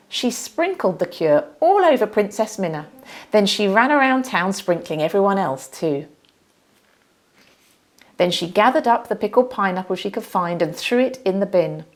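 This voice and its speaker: A woman reading a children's storybook aloud as narrator, with dramatic, deliberate emphasis. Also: mid-range voice.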